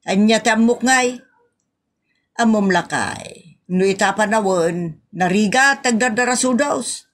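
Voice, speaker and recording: A woman's voice: medium pitch, unhurried, close to an everyday voice. A woman with an Ilocano accent playing a thrifty, wary married village woman in a comedy audio drama, plain-spoken and questioning. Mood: suspicious.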